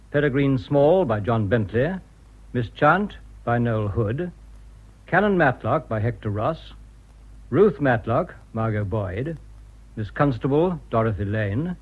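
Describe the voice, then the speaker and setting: A man's voice: medium pitch, slightly rough. Phone-like recording of formal male announcer. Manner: formal, measured. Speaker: continuity announcer. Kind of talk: scripted credits announcement. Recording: thin, phone-like radio recording.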